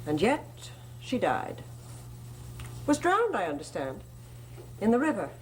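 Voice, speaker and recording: A woman's voice: medium pitch, wildly swooping pitch, slow pace, slight background noise. An actress in a gothic soap opera playing a sinister woman who feigns devotion in an insinuating, theatrical way. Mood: coaxing, insinuating. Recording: loud dramatic music under the dialogue.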